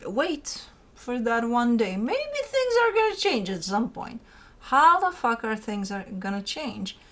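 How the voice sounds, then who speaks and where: A woman's voice: on the high side, fairly bright tone. A woman hosting a tarot card reading, talking in an informal, rambling and emphatic way, repeating phrases and putting rhetorical questions to the listener. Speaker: tarot reader hosting her own show.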